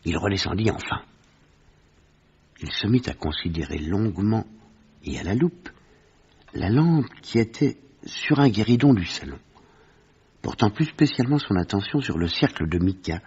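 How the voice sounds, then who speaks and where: An actor playing a gentleman narrator who recounts a detective mystery in formal, vivid French radio storytelling with a husky voice. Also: low voice, expressive, moderate pace, long pauses, lo-fi sound, full character voice. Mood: narrating, suspenseful.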